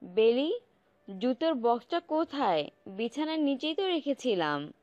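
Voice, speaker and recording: A woman playing a fussing middle-aged mother in a romantic audio story, talking in a brisk, nagging, motherly way. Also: high-pitched, big pitch swings, a little mellow, no room sound. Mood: brisk, insistent. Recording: slightly dull close recording, music bed. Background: background music.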